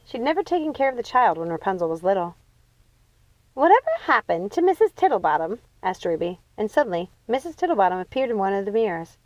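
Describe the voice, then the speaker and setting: A woman reading a children's fantasy novel aloud as expressive scripted narration. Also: medium pitch, fast talker, few pauses, no echo, low-quality recording. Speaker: audiobook reader.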